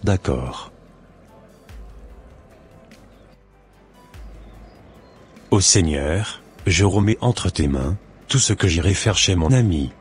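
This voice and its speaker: An earnest, devout young man in a cartoon drama voiced by a man, speaking in a low voice. He is a devoted son in love, and music plays behind his scripted lines. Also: animated, measured pace. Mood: polite, devout.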